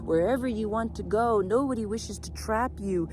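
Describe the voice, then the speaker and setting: A woman's voice: medium pitch, moderate pace, background noise, voice pushed up, natural voice. Woman as an emphatic, world-weary male peacock. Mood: emphatic yearning. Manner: emphatic, repetitive, lamenting. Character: proud-voiced male peacock, bitter and weary of captivity.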